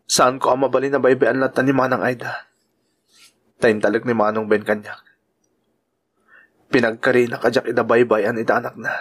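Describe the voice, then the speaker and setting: A man acts a dutiful young uncle in an Ilocano drama. His voice sits at a middle pitch, with an earnest, soft-hearted delivery and an Ilocano accent. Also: flat delivery, normal speed, pauses often, close to an everyday voice. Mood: earnest, sad. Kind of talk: Ilocano audio drama acting.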